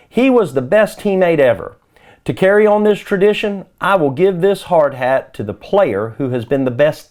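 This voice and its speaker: A man's voice: on the high side, a little mellow. A man voicing an encouraging, instructive basketball coach in a children's storybook. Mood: proud, warm.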